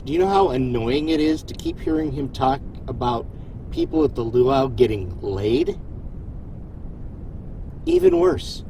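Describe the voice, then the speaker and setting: Animated, fatherly starship captain, played by a man. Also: medium pitch, unhurried, gravelly, pauses often, a little hiss or hum, low-quality recording. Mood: wry. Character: male starship captain, supportive, fatherly, self-deprecating joker. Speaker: voice actor. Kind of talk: audio drama dialogue.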